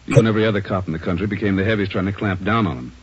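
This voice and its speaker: An American man playing a blunt, weary, indignant police chief in a 1940s radio drama, gruff in manner. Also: lowish voice, rapid-fire. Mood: bitter, indignant. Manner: gruff, forceful, world-weary. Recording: dull old 1940s radio recording.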